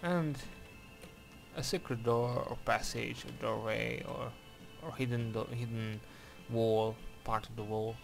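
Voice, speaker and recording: Slow, gravelly Hungarian-accented man. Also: medium pitch, steady tone, soft background music. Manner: hesitant, many fillers and restarts, careful non-native English. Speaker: game reviewer. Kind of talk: gameplay commentary and review. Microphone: voice-over over game footage.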